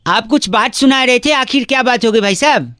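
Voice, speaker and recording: High bright-voiced prank caller man. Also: fairly quick, dry recording, studio quality. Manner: mock-innocent, provoking. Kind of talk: prank phone call.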